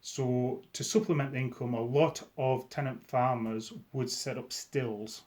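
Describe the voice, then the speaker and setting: An easygoing middle-aged man with a Scottish accent talks casually straight to camera. His voice sits at an ordinary pitch, and he rambles amiably, restarting phrases as he goes. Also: steady tone, unhurried, darkish tone. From Scotland. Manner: chatty and easygoing, with frequent restarts. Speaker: whisky vlogger.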